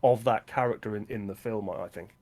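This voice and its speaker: A man's voice: on the low side, a little fast, darkish tone. A man co-hosting a film podcast, talking casually with an analytical, opinionated manner.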